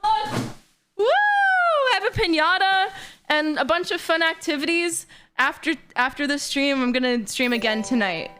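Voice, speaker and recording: A woman in her twenties chatting excitedly and bubbly on a livestream from her bedroom. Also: very high-pitched, measured pace. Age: 20s. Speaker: streamer. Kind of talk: casual livestream chat.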